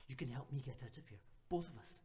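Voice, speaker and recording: Male actor for imprisoned keeper of a secret order: medium-pitched, weary, desperate, suspicious; radio play with noisy ambience. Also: lively intonation, fast talker, dark tone, close to an everyday voice. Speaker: actor in student radio drama. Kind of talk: dramatic radio drama acting.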